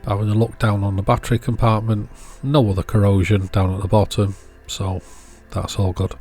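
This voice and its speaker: A man's voice: lowish voice, level tone, brisk, a little mellow, faint music behind. A man giving casual, hands-on repair narration as a video host, in a matter-of-fact, understated way.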